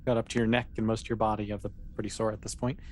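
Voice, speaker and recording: A fast-talking game master improvises narration for a tabletop game. The delivery is loose and explanatory, with conversational asides. There is some background noise in the recording. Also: medium pitch, flat delivery.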